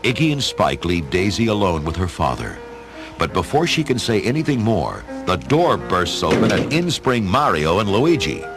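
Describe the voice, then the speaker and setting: Low, animated male story narrator. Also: moderate pace, over music. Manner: expressive storytelling. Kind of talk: dramatic scripted story narration.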